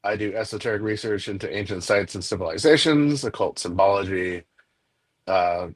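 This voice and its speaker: A man in his forties who grew up in Nepal, talking as a guest on a video-call panel in an earnest, halting way. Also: lowish voice, breathy edge.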